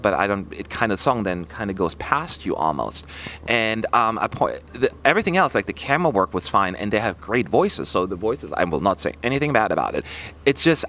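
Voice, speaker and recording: A man's voice: on the low side, a bit bright. A man hosting a casual, chatty on-camera discussion for a reaction channel. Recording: clearly noisy background ambience.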